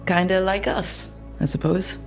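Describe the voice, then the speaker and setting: An actress playing a curious, teasing young adventurer in a fantasy video game, speaking in a casual, dry way. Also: female voice, mid-range voice, steady tone, measured pace, faint music behind, close to an everyday voice. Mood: wry, thoughtful.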